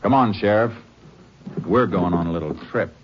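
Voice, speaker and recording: Low-voiced Texas Ranger, played by a man, old radio. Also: normal speed, lightly acted. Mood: businesslike. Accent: American. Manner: terse, businesslike questioning. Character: calm, authoritative Texas Ranger investigator. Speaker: lead actor and narrator. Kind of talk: 1950s radio drama acting. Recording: muffled 1950s radio recording, loud music.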